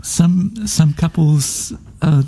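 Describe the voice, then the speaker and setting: A woman's voice: low voice, fairly even intonation, drawn-out words, dark tone. A woman co-hosting a radio chat, asking short questions from slightly off-mic. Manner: brief prompting questions. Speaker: radio co-host.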